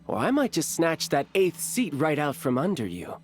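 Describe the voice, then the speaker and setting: A playful, cocky young rogue in a video game. He teases breezily, his pitch bouncing up and down, with music playing behind him. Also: wildly swooping pitch, normal speed. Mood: teasing. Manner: breezy, teasing. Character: cheeky, cocky, playful young male troublemaker. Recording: music under the voice.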